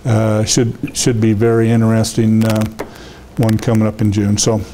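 A man's voice: fairly low pitch, completely flat delivery, moderate pace, slight background noise, rough recording. An older man giving a loose, rambling library talk to a seated audience, full of fillers and restarts, on a lapel mic as he walks around. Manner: says he has a loud voice. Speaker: guest speaker. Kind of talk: informal public lecture. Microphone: lapel mic, walks around the room.

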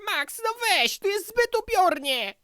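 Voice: very high voice, very animated, a little slow, sharp tone, no room sound, clean studio sound, exaggerated voice. An actor voicing a timid, nerdy, whiny camp boy who panics easily, in a cartoon fan dub. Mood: scared. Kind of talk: animated series fan dub.

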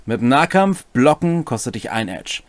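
A man's voice: medium pitch, big pitch swings, moderate pace, dry recording. A man, co-hosting and explaining game rules with casual, chatty commentary. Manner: chatty, jokey asides. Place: small home room, indoors.

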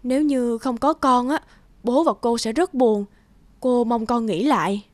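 A woman voicing a gentle, patient stepmother-to-be in a cartoon. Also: on the high side, unhurried, dry recording, lightly acted. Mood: gentle, pleading. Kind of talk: cartoon voice acting.

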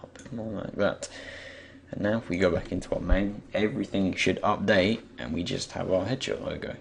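A young man with a croaky voice, just woken up, thinking aloud with many pauses as he talks through a software tutorial. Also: low-pitched, steady tone, slight background noise. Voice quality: croaky, gravelly. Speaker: tutorial creator. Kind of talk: screen-recorded software tutorial.

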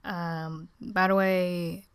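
A teenage girl with a naturally low voice, tired and subdued, giving a casual, rambling, hesitant update as a vlogger. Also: level tone, extremely slow, clean tone. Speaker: video channel creator.

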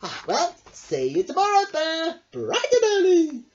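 A storybook reader voicing an eager, chatty, needy duck with an excitable, pleading manner. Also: very high-pitched, unhurried, pitched above the performer's own voice, full character voice. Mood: delighted. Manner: excitable, pleading, swooping exclamations. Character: eager, chatty, needy cartoon duck, pestering best friend. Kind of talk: picture book read-aloud character voice. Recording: dull lo-fi home recording.

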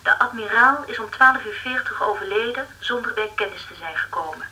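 An actress playing a brisk radio station secretary in a radio drama, announcing messages in a formal manner. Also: female voice, a little high, bright, forceful tone, slight background noise, poor audio. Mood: formal, urgent. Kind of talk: Dutch radio drama acting.

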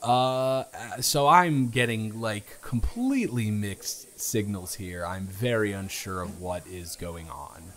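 A man's voice: on the low side, slow pace, few pauses, a bit bright. Young adult male, playful and chatty, stumbles over words, intimate close-mic roleplay delivery. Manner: playful, teasing, rambling with restarts. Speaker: audio roleplay performer. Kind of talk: improvised first-person romance roleplay.

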